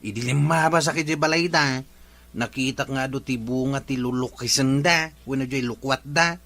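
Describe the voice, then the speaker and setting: A man's voice: medium pitch, moderate pace, pushed and bright. A man acting a plain-spoken, grumbling village man in a comedy radio drama, with a Filipino accent. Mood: conversational.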